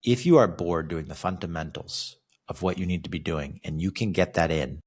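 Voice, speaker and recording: A man's voice: sits low, normal speed, darkish tone, breathy edge. A man from California, a fitness coach hosting a solo podcast, talking casually and often tagging his sentences with "right?". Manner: conversational, ends many phrases with a questioning "right?". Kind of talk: solo motivational podcast monologue.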